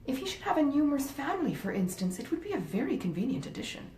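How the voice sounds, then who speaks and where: In a roomy space, a woman narrator plays a grave, well-meaning gentleman from a storybook classic. He deliberates earnestly and a little pompously, in a mellow voice with lively intonation. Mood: grave reasoning. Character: grave, well-meaning but easily swayed gentleman husband. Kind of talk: acted dialogue in a classic novel reading. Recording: roomy echo, faint rumbling noise.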